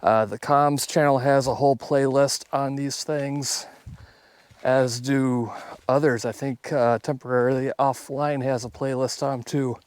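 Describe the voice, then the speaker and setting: A man's voice: medium pitch, steady tone, measured pace, smooth, dry recording. A man talking casually to viewers outdoors on a hike, in a plainspoken, conversational way. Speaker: YouTube host. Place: outdoors on a hike along a river.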